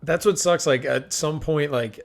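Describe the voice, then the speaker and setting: A man's voice: a little high, unhurried, vocal fry. A man who does stand-up, chatting as a podcast guest and making dry, self-deprecating jokes. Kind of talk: casual comedic chat.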